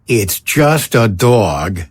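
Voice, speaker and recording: Radio-play husband: reluctant grumbler, sarcastic and put-upon, very lively intonation. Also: male voice, on the low side, extremely slow, a little hiss or hum, full character voice. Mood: reluctant, exasperated. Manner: exasperated, sarcastic, mildly coarse. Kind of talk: audio drama acting.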